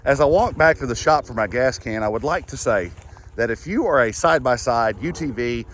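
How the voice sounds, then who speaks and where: A young man with a Kentucky accent, hoarse from a head cold, chatting easily to camera outdoors by a farm pond. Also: mid-range voice, slight background noise. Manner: easygoing, rambling, self-deprecating. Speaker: farm vlogger. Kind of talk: casual vlog talk to camera.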